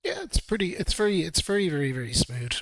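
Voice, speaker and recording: A man reviewing whiskey in a relaxed, hesitant way, a little throaty from a cold. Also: sits high, fast, creaky, no echo. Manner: relaxed, hesitant with frequent ums. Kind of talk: casual video review.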